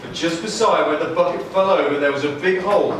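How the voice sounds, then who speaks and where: High-pitched male storyteller, echoey hall. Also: fast. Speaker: storyteller, narrator. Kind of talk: live storytelling for children's puppet show. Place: large room, live audience. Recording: echoey hall, far from mic, noisy.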